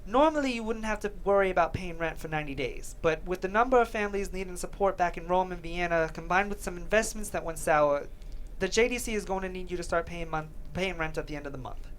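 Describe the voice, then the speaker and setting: A woman's voice: on the low side, fast, lightly acted. Woman reading a take-charge new york lawyer in a drama table read, faint room noise. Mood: apologetic, careful. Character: brash, take-charge male New York defense attorney, generous. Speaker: actor.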